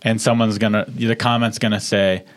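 A man with a lowish voice hosts a relaxed comedy chat. He needles his guest with a playful, teasing tone. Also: normal speed. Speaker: comedian podcast host. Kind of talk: casual podcast chat.

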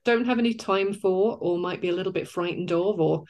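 A woman's voice: mid-range voice, fairly quick, a little mellow. A mature woman in thoughtful podcast conversation, explaining ideas articulately and with emphasis, as an author discussing her work.

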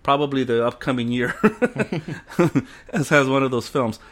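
A man's voice: medium pitch, expressive, a little slow, a bit bright. A man co-hosting a casual film discussion, blunt and opinionated, often laughing. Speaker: podcast co-host.

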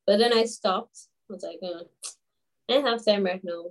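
A woman in her twenties from Grenada, a guest telling stories in a casual interview, chatty and animated with many fillers. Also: medium pitch, moderate pace. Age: 20s. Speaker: guest, children's author.

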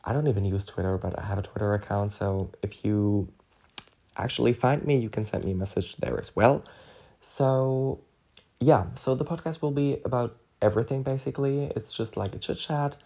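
A man's voice: lowish voice, normal speed, warm tone. A man with a German accent, whose first language is German, hosting a casual solo podcast in a rambling way, with lots of "so, yeah" and "like". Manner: rambling, frequent fillers like "so, yeah" and "like". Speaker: podcast host.

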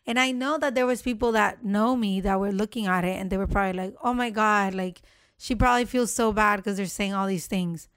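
A woman with a deep voice, speaking bluntly and emphatically in a casual chat. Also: brisk, fairly bright tone, clean tone, dry recording.

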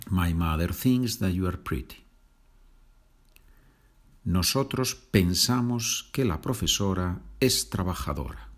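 Slow, low-voiced Spanish man teaching. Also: animated. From Spain. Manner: patient, enunciates slowly, repeats phrases. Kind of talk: slow, repetitive language lesson.

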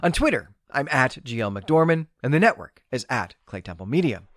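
A man's voice: medium pitch, big pitch swings, a little fast, a bit bright, dry recording, clean studio sound. A man hosting a solo podcast, reviewing books in an enthusiastic, emphatic, conversational way. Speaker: podcast host and book reviewer.

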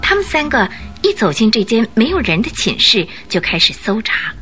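一位女旁白以富有戏剧性的方式讲述广播剧故事。. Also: female voice, fairly high pitch, very animated, normal speed, sharp tone, soft background music. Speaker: narrator. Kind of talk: dramatic scripted storytelling narration.

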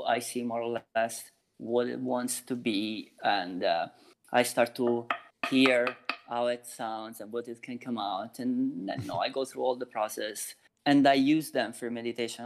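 Thoughtful man in his forties, plain pitch. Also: steady tone, normal speed. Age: 40s. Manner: thoughtful, explains with everyday examples, frequent restarts. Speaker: meditation teacher and flute maker. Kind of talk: casual interview answers.

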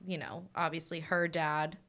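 A woman hosts a podcast in a low voice with a smooth, clear tone. She is chatty and asks long, winding questions in an easy conversational way. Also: level tone, moderate pace, a bit bright. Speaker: podcast host. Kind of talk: casual conversation.

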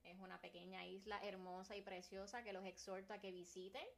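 A woman's voice: mid-range voice, fairly even intonation, fairly quick, vocal fry. Laughing Puerto Rican woman in her twenties. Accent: Puerto Rican Spanish. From Puerto Rico. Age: 20s. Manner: laughs often, mixes in English words. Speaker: podcast co-host. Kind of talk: casual chat.

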